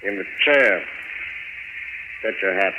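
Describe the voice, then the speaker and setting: An actor playing a stern, sarcastic American investigator in an old radio crime drama, clipped and hard-boiled. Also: male voice, low voice, takes it slowly. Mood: stern sarcasm. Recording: muffled, noisy old radio broadcast.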